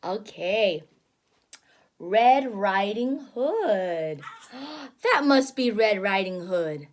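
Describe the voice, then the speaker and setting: A woman reading a picture book aloud as a teacher, expressive, with gasps and questions for a young child. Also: mid-range voice, extremely slow. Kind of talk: animated children's read-aloud with explanations.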